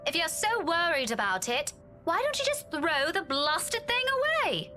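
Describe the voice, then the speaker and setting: A woman's voice: extremely high pitch, very animated, moderate pace, pushed and bright, slight background noise, exaggerated voice. An actress playing an irritable, tired young woman with a brusque, impatient manner in a visual novel game. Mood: curt, exasperated. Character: irritable, tired young woman driving her friend home.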